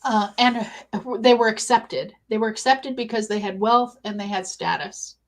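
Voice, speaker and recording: Female researcher as interview guest, higher-pitched voice, conversational, thinks aloud with halting phrases. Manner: thoughtful, halting delivery.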